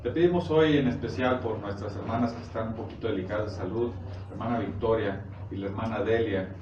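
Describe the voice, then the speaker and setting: A Mexican man gives an informal sermon, recorded from far off in an echoey room. He explains scripture conversationally and haltingly, often checking in with short questions, over clear background noise. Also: on the low side, muffled recording. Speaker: preacher. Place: echoey indoor congregation room. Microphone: distant microphone.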